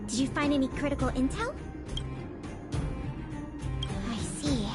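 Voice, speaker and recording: Studious young female game investigator, high voice, very lively intonation, earnest, articulate, music behind. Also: fast. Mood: earnest, informative. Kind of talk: video game character dialogue. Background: game background music.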